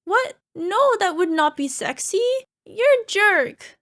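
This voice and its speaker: A woman's voice: very high-pitched, sing-song, takes it slowly, fairly bright tone, full character voice. A woman playing an insecure, self-conscious cyclops monster girlfriend in an ASMR roleplay, talking in a dramatic, playfully exasperated way. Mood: flustered. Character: insecure chubby flying purple people eater girlfriend, a cyclops monster. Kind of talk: ASMR roleplay acting, conversational.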